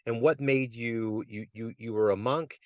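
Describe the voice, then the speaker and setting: A man's voice: medium pitch, fairly even intonation, unhurried, darkish tone, no echo. A man hosting an interview, asking friendly, playful questions. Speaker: interview host.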